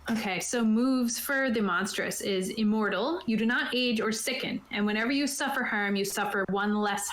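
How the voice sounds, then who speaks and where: A woman's voice: medium pitch, normal speed, fairly bright tone, reverberant, poor audio. A woman prepping a tabletop game online, reading rules aloud and explaining them in a chatty, casual way. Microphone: own microphone on an online voice call.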